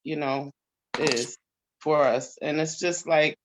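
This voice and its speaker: A man's voice: fairly high pitch, fairly even intonation, slow, noisy background. A man talking casually in a panel discussion as a member of a stage cast.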